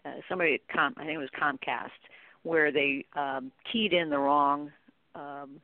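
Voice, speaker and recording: A woman's voice: low voice, steady tone, normal speed, no room sound. A middle-aged woman speaking as an interview guest, explaining things informally and peppering her points with "you know". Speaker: guest, contact center training expert. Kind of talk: conversational interview discussion. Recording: narrow, tinny phone-like line.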